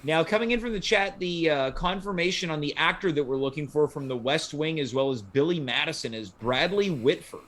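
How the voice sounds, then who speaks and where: A man's voice: on the high side, a little fast, hardly any pauses, fairly bright tone. A man, moderating a casual panel chat as the show's host. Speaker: talk show host.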